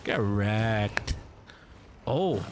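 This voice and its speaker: A man's voice: fairly low pitch, expressive, very slow, noisy background. A man doing casual, slangy livestream commentary while playing a game, reacting as he goes. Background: game music.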